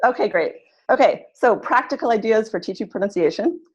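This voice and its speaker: A woman's voice: a little high, animated, brisk, breathy edge. A woman, presenting an online webinar for teachers in a friendly, instructive way. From California, USA. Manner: friendly, instructive, leads warm-up exercises. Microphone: computer mic on video call. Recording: dull lo-fi webinar audio.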